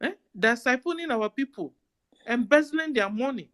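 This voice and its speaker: A woman's voice: fairly high pitch, animated, moderate pace, fairly bright tone. A woman speaking passionately in an online audio discussion. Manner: impassioned, accusing.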